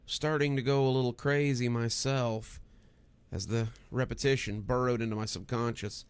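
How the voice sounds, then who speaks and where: A man's voice: mid-range voice, steady tone, moderate pace, clear, resonant tone, no echo. A man reading a scripted first-person horror story as its narrator.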